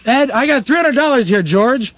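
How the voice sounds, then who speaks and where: Guy doing prissy little rent collector in a radio spoof, really high voice, flippant, dry studio sound. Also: expressive, moderate pace, a bit bright, no echo. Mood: meek. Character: fussy, wheedling little male rent collector. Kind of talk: comedic radio play acting.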